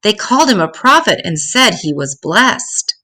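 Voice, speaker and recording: An older woman reading a rhyming children's story aloud in an expressive, dramatic, deliberate way. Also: mid-range voice.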